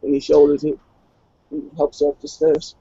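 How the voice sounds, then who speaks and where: A man's voice: on the high side, completely flat delivery, normal speed, creaky, dark tone, slightly rough, no room sound. A man narrating his character's actions in a tabletop roleplay game. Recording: dull, lo-fi online call.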